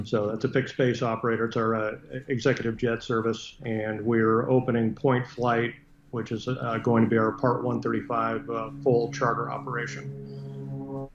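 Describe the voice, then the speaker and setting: An American man speaking as an interview guest, blunt and matter-of-fact in a conversational style. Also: mid-range voice. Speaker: interview guest, retired military fighter pilot and aviation businessman. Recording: roomy echo, dull muffled sound.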